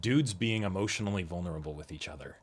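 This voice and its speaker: A man's voice: low-pitched, quick pace, slightly rough. A young man co-hosting a casual reaction discussion, talking earnestly and repeating words as he works out his point. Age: young adult. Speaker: co-host of an anime reaction show. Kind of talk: casual chat, episode discussion. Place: small indoor room, seated before a backdrop.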